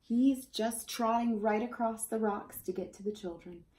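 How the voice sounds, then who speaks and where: A woman's voice: on the high side, moderate pace, darkish tone. A woman reading a children's picture book aloud expressively, as storyteller to young listeners. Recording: roomy, echoey indoor sound.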